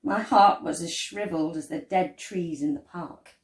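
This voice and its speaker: A woman reads a children's story aloud expressively, with deliberate phrasing and dramatic pauses. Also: on the low side, noisy background. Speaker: storyteller reading a picture book. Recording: roomy, echoey indoor sound.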